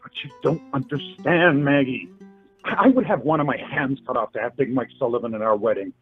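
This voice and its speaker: A man's voice: medium pitch, a little fast, slightly rough, lightly acted. A man acting an earnest, hesitant young New York suitor in a radio play, in muffled audio. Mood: solemn. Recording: muffled lo-fi audio with music behind.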